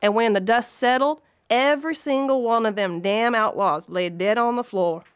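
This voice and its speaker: A woman's voice: on the high side, normal speed, smooth, close to an everyday voice. A woman playing a folksy, wry Old West storyteller, narrating an audio drama. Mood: wry storytelling. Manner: folksy, colloquial.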